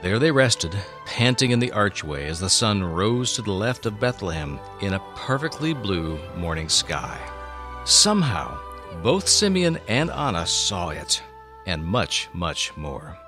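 A man narrating a scripted story in a solemn, expressive, dramatic way on a close microphone. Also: fairly low pitch, measured pace, music in the background. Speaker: show host and story narrator.

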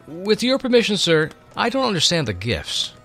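A man's voice: sits high, wildly swooping pitch, moderate pace, fairly bright tone, faint music behind, voice pushed up, heavily acted. A man playing an earnest young male servant, inquisitive and deferential, in a dramatic storybook reading. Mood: earnest, questioning.

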